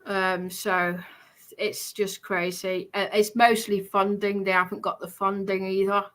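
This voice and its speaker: Bright, forceful woman, insistent. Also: mid-range voice, level tone, measured pace. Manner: insistent, repeats phrases. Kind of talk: casual debate.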